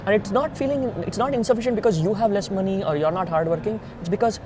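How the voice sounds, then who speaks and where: Male, Indian accent, slightly high register. Earnest, emphatic interviewee explaining step by step over noticeable outdoor traffic noise. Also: fast talker. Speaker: founder and CEO being interviewed. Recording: noisy outdoor ambience with traffic rumble.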